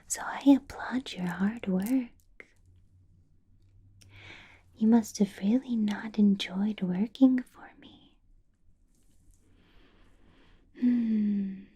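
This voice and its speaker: A woman voicing a possessive, coolly menacing vampire boss in an ASMR roleplay, whispering slowly and deliberately with musing hums. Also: a little high, exaggerated voice. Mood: quietly displeased. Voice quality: soft, breathy whisper. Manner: slow, deliberate, drawn-out pauses, musing hums.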